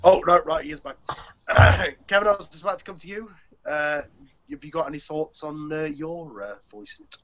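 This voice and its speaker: A man's voice: a little high, normal speed. A man hosting a casual group chat on an online call, talking in a rambling, hesitant way. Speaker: host, audio drama producer. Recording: dull lo-fi call audio.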